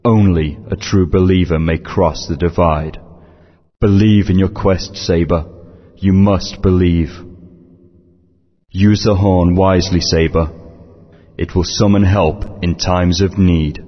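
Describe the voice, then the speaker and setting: An actor playing a solemn dinosaur tribe elder who speaks in a grave, ceremonial way, in a lo-fi game recording with long reverb. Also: male voice, low-pitched, fairly even intonation, slow, long pauses.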